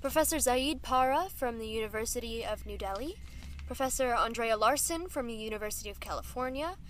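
A polished television anchor keeps a live broadcast moving with calm professionalism, as a woman acts her out in an audio drama. Her voice sits a little high, and she speaks in a clear, polite newsroom manner. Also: fairly quick, a little hiss or hum, lightly acted. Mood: composed. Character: composed female news anchor, adult. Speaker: broadcast reporter.

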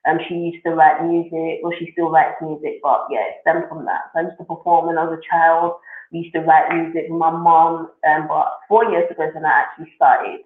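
A woman's voice: low voice, brisk. Woman on a tinny echoey line. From Nigeria and England. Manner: relaxed, steady, many ums. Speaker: musician guest. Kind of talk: interview answers. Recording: echoey phone-like remote audio.

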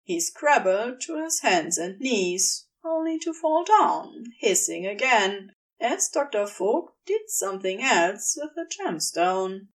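A woman's voice: mid-range voice, very animated, slow pace, few pauses, far from the mic. A woman reading fiction aloud as a scripted story narrator. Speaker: audiobook narrator.

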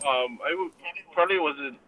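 A man's voice: on the high side, normal speed. A young man from Hawaii, a language teacher chatting as a guest in an easygoing way, full of fillers. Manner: relaxed, filler-heavy, easygoing. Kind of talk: casual conversation. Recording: distant mic with clear background noise.

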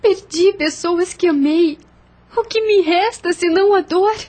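A woman's voice: extremely high pitch, unhurried, darkish tone. An actress playing a grieving, defiant young lady of a plantation family in a Brazilian radio soap, with an emotional, dramatic delivery. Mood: sorrowful longing.

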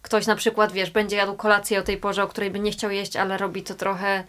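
A woman's voice: mid-range voice, level tone, fairly quick, a bit bright. A woman interviewer who reflects on what her guests said and then asks them questions. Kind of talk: casual interview.

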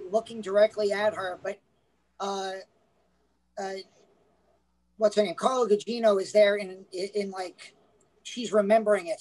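A man's voice: high-pitched, darkish tone, rough recording. A man co-hosting a casual movie review podcast, talking in a rambling way with lots of restarts. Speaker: podcast co-host.

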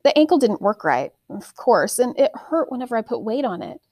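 A woman narrating a first-person story expressively, with deliberate, dramatic phrasing. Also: on the high side, big pitch swings, darkish tone, no echo. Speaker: audiobook reader, teacher reading aloud.

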